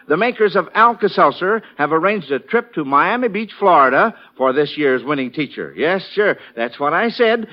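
High-pitched American radio quiz host, 1940s man. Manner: folksy, enthusiastic showman addressing children. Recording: dull vintage 1940s radio broadcast.

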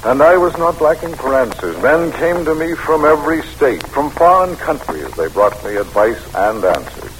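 A man's voice: mid-range voice, sing-song, natural voice. Man doing a grave folksy american president, old radio play, voice rises and falls a lot, really noisy recording. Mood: reflective, storytelling. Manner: measured, solemn, folksy oratory. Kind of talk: old-time radio drama acting.

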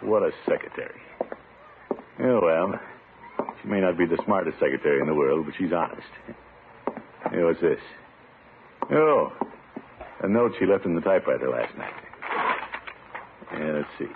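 An actor playing a pompous, easily flustered middle-aged uncle in a 1950s radio sitcom, with blustery, drawn-out exclamations. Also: male voice, low-pitched, lively intonation, creaky. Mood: musing. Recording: tinny, noisy old radio recording.

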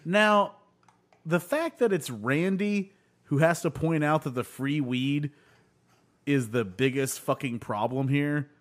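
A man hosting a casual podcast, excitedly retelling TV scenes to his friends. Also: on the high side, takes it slowly. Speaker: podcast host. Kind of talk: casual chat recapping a show.

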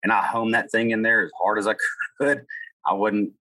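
A man's voice: fairly low pitch, little pitch movement, normal speed, sharp tone, rough recording. A man co-hosting a podcast in casual chat, telling rambling stories and chuckling as he goes. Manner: rambling storyteller, chuckles mid-sentence. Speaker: podcast co-host.

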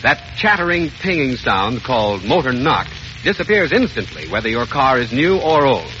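A man's voice: medium pitch, big pitch swings, moderate pace, sharp tone. A man reading a scripted commercial as an old-time radio announcer, with polished salesmanship. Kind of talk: 1930s-40s radio ad read. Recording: muffled old radio recording, faint noise.

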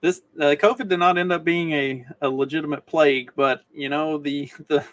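A man's voice: a little high, fairly even intonation, moderate pace, no room sound. A man co-hosting a live podcast, talking casually and conversationally. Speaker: podcast co-host.

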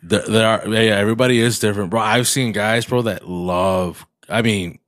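Congested low-voiced man, bilingual podcast banter. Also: steady tone, moderate pace, a bit bright, no room sound, clean studio sound. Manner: mixes English with Spanish, swears often. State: congested, coughing. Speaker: podcast co-host. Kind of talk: casual podcast chat.

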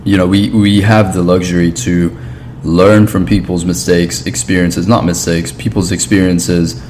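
A man with a low, deep voice talks as a podcast host over faint background noise. His delivery is casual and reflective, with measured, earnest phrasing and an agreeable manner toward his guest. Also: level tone, darkish tone. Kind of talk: casual, reflective interview talk.

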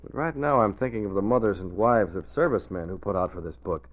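An actor playing a determined, level-headed boss who explains things with measured authority, in 1940s radio drama style. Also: male voice, fairly low pitch, natural voice. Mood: resolute. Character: level-headed, determined male boss, secret crime fighter. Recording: tinny, narrow old radio recording.

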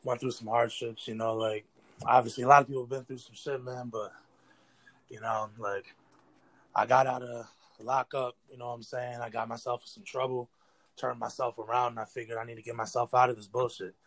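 A man's voice: medium pitch, level tone, fairly quick, a bit bright. Teenage American male, mid-range voice, earnest and rambling, street slang, casual podcast guest. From New York State, United States. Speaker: interview guest, battle rapper. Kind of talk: casual slangy interview talk.

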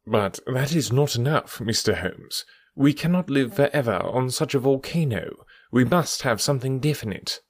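A man's voice: mid-range voice, animated, pitched above the performer's own voice, close to an everyday voice. A man voicing a grave older statesman. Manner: grave, firm, deliberate. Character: older male prime minister, grave, subdued.

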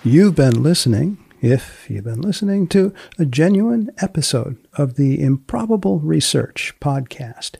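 A man hosting a podcast, reading scripted lines and asking questions with mock-solemn, deadpan humour and theatrical pauses. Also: fairly high pitch, vocal fry, slightly rough. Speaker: podcast host. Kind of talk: scripted narration and interviewing.

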